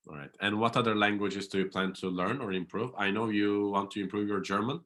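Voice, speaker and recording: A man hosting an interview podcast, asking questions in an earnest, measured way. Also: on the low side, steady tone, a bit bright. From Turkey. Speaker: podcast host.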